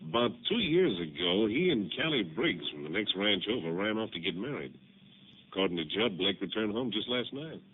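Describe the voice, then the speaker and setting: A man's voice: on the low side, sing-song, brisk. An actor playing an easygoing, folksy male country sheriff in a 1950s radio drama. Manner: easygoing, folksy drawl of words. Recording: tinny lo-fi old radio broadcast with faint hiss.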